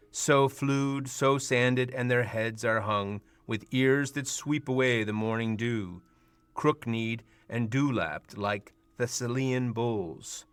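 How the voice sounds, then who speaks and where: A young man playing a proud, commanding duke, declaiming Shakespearean verse deliberately in a radio play. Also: mid-range voice, fairly bright tone, clean tone, dry recording. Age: teens or young adult.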